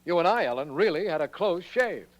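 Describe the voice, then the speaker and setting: An actor playing an earnest, inquiring American district attorney in an old radio detective drama. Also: male voice, high voice, animated, fairly quick. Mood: curious.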